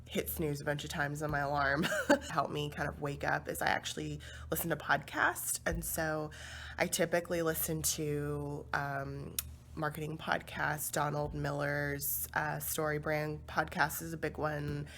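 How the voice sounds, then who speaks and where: A woman's voice: low-pitched, flat delivery, measured pace, fairly bright tone. A woman in her twenties answering interview questions casually, chatty and laughing, with many fillers. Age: 20s. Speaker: focus group participant.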